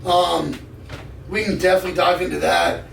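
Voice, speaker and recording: A man in his thirties with a raspy voice, chatting casually as a podcast guest in blunt, sweary slang. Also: sits high, moderate pace, a bit bright. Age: 30s. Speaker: podcast guest, gym enthusiast. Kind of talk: casual chat. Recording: echoey, distant mic with noise.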